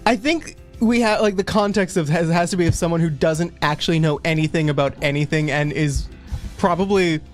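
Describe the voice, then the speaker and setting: A woman's voice: on the low side, fairly quick. A woman co-hosting a livestream, giving a comic dramatic reading mixed with casual chat, stumbling over words and breaking into asides. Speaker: livestream co-host reading fan fiction aloud. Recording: music behind the voice.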